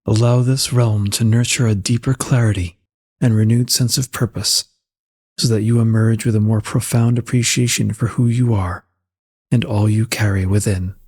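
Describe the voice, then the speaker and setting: A man leading a slow guided meditation in a calm, soothing and unhurried manner, with a soft husky voice. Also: on the low side.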